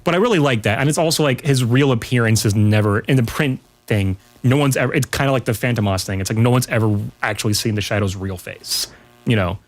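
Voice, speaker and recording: A man's voice: medium pitch, fast. A man talking casually as a podcast guest, in an informal way with rambling asides. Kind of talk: casual pop culture chat.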